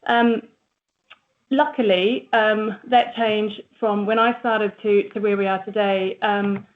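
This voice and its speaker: A woman's voice: mid-range voice, steady tone, takes it slowly. Middle-aged woman, New Zealand accent, chatty speaker, thin phone-line sound. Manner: chatty, with fillers. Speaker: agency managing director. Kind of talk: presentation. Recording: tinny phone-line audio.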